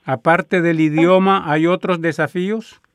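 A man interviewing a guest on the radio, asking measured, polite questions. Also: fairly high pitch, fairly even intonation, dry recording.

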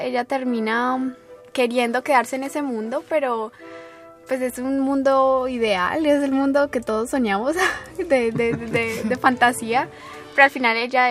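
A young woman from Colombia, a ballet dancer being interviewed on the radio, who talks haltingly and often repeats her words. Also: sits high, steady tone, fairly bright tone, soft background music. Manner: hesitant, repeats words while explaining.

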